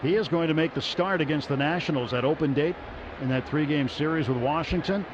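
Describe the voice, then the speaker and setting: A man calling baseball play-by-play on the radio from a ballpark booth, steady and rising sharply on big plays. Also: on the high side, a little fast. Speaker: play-by-play announcer. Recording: muffled radio broadcast with crowd noise.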